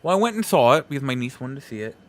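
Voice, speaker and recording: A man hosting a solo podcast, giving casual opinion commentary in a halting, emphatic way, repeating phrases for effect. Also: medium pitch, very animated. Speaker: podcast host.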